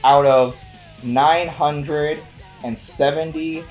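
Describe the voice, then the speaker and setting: A man's voice: a little high, steady tone, takes it slowly, faint music behind. Wry male co-host bantering about movies. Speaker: movie review show co-host. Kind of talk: casual banter and film review.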